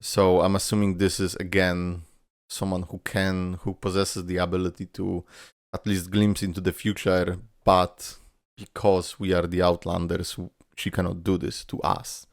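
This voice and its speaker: A man's voice: deep voice, monotone, unhurried, no echo, clean studio sound. A man hosting a reaction video, giving casual commentary and thinking aloud in an informal way.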